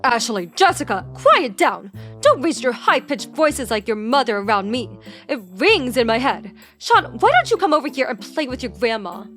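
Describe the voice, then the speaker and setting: Spiteful, haughty grandmother for story dialogue, forceful and scolding, played by the female narrator, light music behind. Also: sits high, wildly swooping pitch, quick pace, few pauses, pitched above the performer's own voice, exaggerated voice. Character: spiteful, nagging older grandmother, openly playing favorites.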